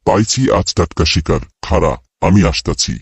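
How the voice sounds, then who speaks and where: A man voicing a menacing, grumbling predator with a gravelly voice in a children's cartoon, on a very noisy track. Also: low-pitched, normal speed, no echo, heavily acted. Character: menacing male predator hunting the animal friends.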